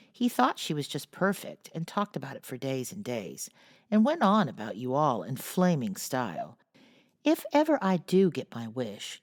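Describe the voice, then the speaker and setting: A woman reads as an impatient well-off teenage boy from a classic storybook, restless and eager to break free. The line swings widely in pitch with a gravelly edge, and the sound is close and dry. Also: a little mellow, no echo, heavily acted. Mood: hearty.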